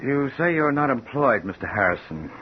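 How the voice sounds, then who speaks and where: An actor playing a stiff, unyielding, regretful lender in 1950s radio drama style, on a muffled vintage recording. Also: male voice, medium pitch, big pitch swings, moderate pace, faint music behind, natural voice. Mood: coolly regretful.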